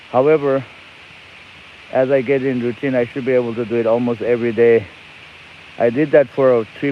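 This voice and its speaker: A man from Nepal, chatting cheerfully and rambling while he livestreams from an e-bike outdoors on his phone. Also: mid-range voice. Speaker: livestream host. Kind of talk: casual livestream chat. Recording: lo-fi phone stream with loud outdoor noise.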